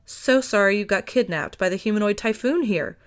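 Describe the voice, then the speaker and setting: A woman's voice: mid-range voice, animated, a little fast, natural voice. Woman voicing a huffy, bossy little grouch. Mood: huffy, teasing. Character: tiny grouchy loudmouth woman, exasperated but fond.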